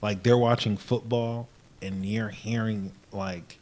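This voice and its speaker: A man's voice: fairly low pitch, fairly even intonation, slow, darkish tone. A man in casual podcast conversation who talks animatedly and acts out what other people said.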